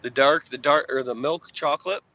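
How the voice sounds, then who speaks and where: A young man with a slightly raspy voice, chatting casually on a live stream while plowing snow and answering viewers' questions. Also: fairly high pitch, fairly quick, sharp tone. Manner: laid-back, rambling, reads chat aloud. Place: inside a truck while plowing. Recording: dull lo-fi stream audio with faint engine noise.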